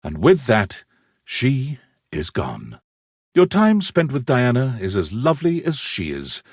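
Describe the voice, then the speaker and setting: A man giving scripted, theatrical narration for a game, telling the story in an expressive, dramatic way. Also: medium pitch, slow, slightly rough, no echo, studio quality.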